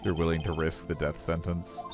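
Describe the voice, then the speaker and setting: A man's voice: a bass voice, flat delivery, moderate pace, music in the background. A man voicing an earnest young defense attorney questioning people in a courtroom video game. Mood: pressing. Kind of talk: amateur video game voice acting.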